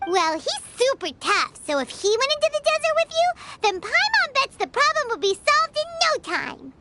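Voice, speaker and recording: A tiny, excitable girl sidekick from a video game. The voice is high and childlike, eager and cartoonish, over clearly noisy ambience. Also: normal speed. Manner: speaks of herself in third person.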